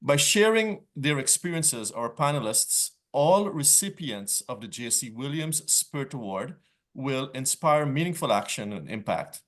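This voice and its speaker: A man moderating a panel discussion, reading prepared opening remarks in brisk stretches. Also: mid-range voice, lively intonation, fairly bright tone.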